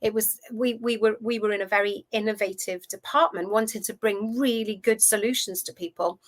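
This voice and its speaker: A Welsh woman in her fifties, a coach telling her career story in a chatty, animated way as an interview guest. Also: mid-range voice, brisk. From Wales. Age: 50s. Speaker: guest, food relationship coach. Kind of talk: casual interview chat.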